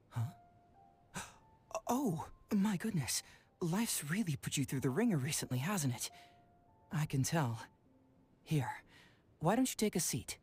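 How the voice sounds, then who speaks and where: A man's voice: fairly high pitch, darkish tone, breathy edge, heavily acted. A man as a cocky, breezy young detective. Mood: sympathetic, confident. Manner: chatty, teasing, self-assured. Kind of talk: game character acting.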